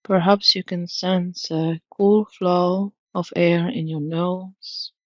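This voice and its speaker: A woman narrating a guided meditation in a soothing, gentle, measured manner. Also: fairly low pitch, fairly even intonation, dry recording, muffled recording.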